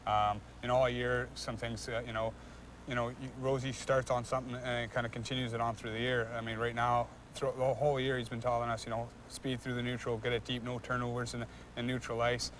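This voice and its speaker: Young man, flat-pitched, halting interview talk. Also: slight background noise. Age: young adult. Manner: halting, repeats words, frequent "you know". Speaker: ice hockey player being interviewed. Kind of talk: casual interview answers.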